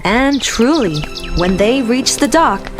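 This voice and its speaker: A woman storyteller giving an expressive, clearly phrased scripted fairy-tale narration for young listeners. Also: fairly high pitch, slow pace. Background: clear music.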